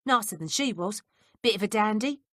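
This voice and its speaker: Woman reads sly young manservant, gossipy and blunt, animated delivery. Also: medium pitch, a little fast, no echo, studio quality, pitched above the performer's own voice, natural voice. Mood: confiding. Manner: blunt working-class speech.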